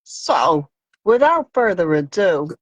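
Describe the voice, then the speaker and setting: A woman hosts a live crafting chat over a video call, rambling and hesitant. Her speech is unhurried and lowish for a woman. The sound is dry and close. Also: sing-song, no room sound, highs cut off. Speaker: host crafter.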